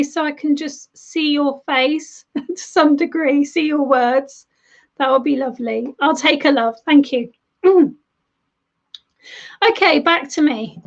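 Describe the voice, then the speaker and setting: A high-voiced woman reading tarot cards live for viewers, warm-natured in words, calling people darling and love. Her voice is a bit hoarse from losing it, and the stream audio sounds dull and lo-fi. She talks in loose, chatty runs with small restarts. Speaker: tarot reader.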